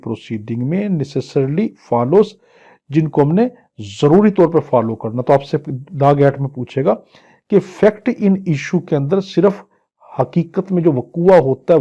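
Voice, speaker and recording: A Pakistani man gives an exam-prep lecture in a slightly high, mellow voice. He goes very slowly, reading a clause and then restating it piece by piece. The sound is a little dull and lo-fi. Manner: explanatory, repeats and paraphrases phrase by phrase. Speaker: law lecturer.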